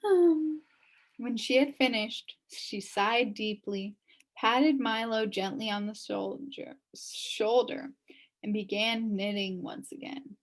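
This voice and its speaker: A woman's voice: on the high side, takes it slowly, low-quality recording. A woman reading a children's story aloud expressively as the narrator. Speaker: storybook reader.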